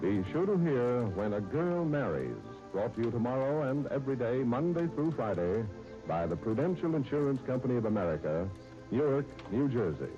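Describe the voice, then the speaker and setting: Lively male announcer over music, muffled radio. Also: mid-range voice, moderate pace. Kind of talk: 1930s radio announcing, scripted sponsor message. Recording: muffled 1939 radio disc recording.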